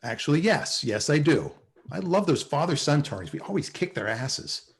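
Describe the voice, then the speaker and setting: A man's voice: on the high side, big pitch swings, normal speed. A man playing a nervous, lovesick, dryly sarcastic office worker in a sitcom table read, with a hesitant, stammering delivery. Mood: casual. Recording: video call table read.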